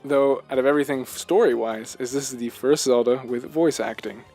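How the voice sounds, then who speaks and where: A man's voice: mid-range voice, fairly quick, a little mellow, faint music behind. A man co-hosting a casual video game chat, gushing with enthusiasm and rambling from thought to thought. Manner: rambling, jumps between thoughts. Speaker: podcast host.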